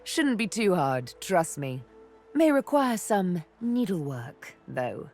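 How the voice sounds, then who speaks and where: Slow, teasing elf rogue. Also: female voice, on the low side, very animated, a little mellow, faint music behind, full character voice. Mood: sly, wry. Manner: playful, flirtatious, theatrical, darkly threatening, savoring words. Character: sly elf woman rogue, former slave, teasing and menacing. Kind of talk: video game character acting.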